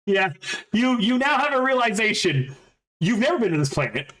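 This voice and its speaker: A man narrating as game master in a casual, halting way with many fillers. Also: high-pitched, big pitch swings, fairly bright tone, rough recording.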